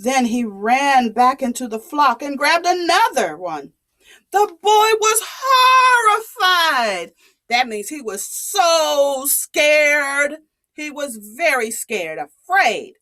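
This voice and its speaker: A woman's voice: sits high, animated, takes it slowly, fairly bright tone. A woman telling a story to young children in a dramatic way, asking questions and repeating things to explain them.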